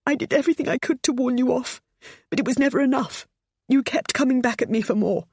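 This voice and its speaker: A woman's voice: medium pitch, moderate pace, slightly rough, dry recording, studio quality, pitched above the performer's own voice, lightly acted. A woman voicing a desperate older mother who sobs and pleads as her voice breaks. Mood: sobbing. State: sobbing.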